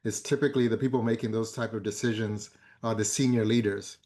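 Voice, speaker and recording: Low, flat-voiced man from Zambia. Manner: measured, with many hesitant fillers. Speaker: board member, guest speaker. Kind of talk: conference fireside chat.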